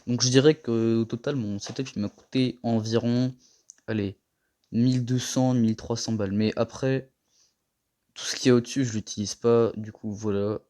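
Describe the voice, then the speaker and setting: A young man giving a casual tour of his gaming setup, rambling and slangy, a little hoarse from a cold. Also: medium pitch, steady tone, normal speed, dark tone. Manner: rambling, slangy and self-mocking. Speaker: gaming vlogger.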